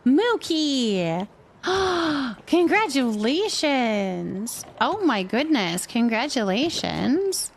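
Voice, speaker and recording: High-voiced woman streaming a game casually. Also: very animated, drawn-out words, creaky. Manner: thinking aloud, exclaiming and congratulating viewers. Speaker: game livestream host. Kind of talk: casual chat while playing a building game, reacting to viewers.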